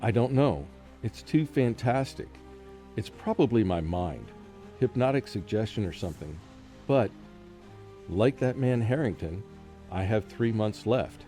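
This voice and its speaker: A man playing a frightened college professor in a radio horror drama, with a brooding, halting, dramatic delivery. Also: lowish voice, lively intonation, mellow. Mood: rattled, uneasy. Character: frightened haunted male college professor recounting a curse. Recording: music under the voice.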